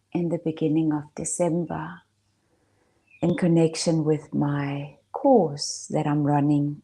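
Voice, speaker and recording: A woman speaking as a meditation guide, in a soft, breathy, hushed voice with long pauses. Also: low-pitched. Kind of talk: gentle guided meditation talk.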